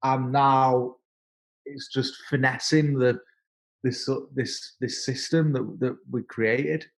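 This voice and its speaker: Halting man in his thirties. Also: mid-range voice, fairly even intonation, gravelly. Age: 30s. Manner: halting, repeats words and restarts often. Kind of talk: casual interview conversation.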